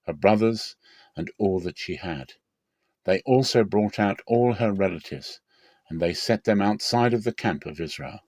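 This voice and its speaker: A man with a fairly low voice narrates scripture like a solemn story. His delivery is deliberate and dramatic, and the recording is very dry with no room sound. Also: darkish tone, no echo. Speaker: scripture reader.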